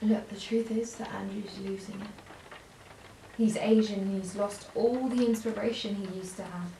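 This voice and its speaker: A woman's voice: mid-range voice, fairly even intonation, normal speed, gravelly, natural voice. A woman playing a despairing, worn-out character in an audio drama, anguished, with repetitive, breaking phrases. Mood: bitter, low. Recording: echoey, distant room with faint noise.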